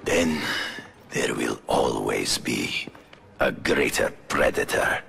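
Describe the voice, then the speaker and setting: An actor playing a ruthless, commanding hunter and mentor in a video game, gravelly, measured and domineering. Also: male voice, very deep voice, lively intonation, fairly bright tone, soft background music, full character voice. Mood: cold, stern. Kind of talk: video game cutscene acting.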